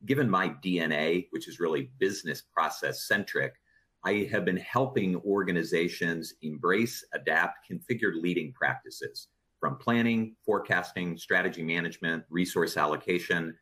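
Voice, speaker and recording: A man with a Chicago accent, an experienced consultant, introducing himself on a webinar panel in a measured, businesslike way. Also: sits low, fairly bright tone, husky.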